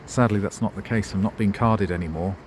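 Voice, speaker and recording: A middle-aged man talks his way through a town on foot, his voice sitting low. He wanders off on tangents and makes dry jokes at his own expense. Faint traffic hums behind him in the open street. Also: fairly quick. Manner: wry, digressive, self-mocking asides. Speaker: travel vlogger. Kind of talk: rambling walking-tour commentary. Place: outdoors in a town centre street.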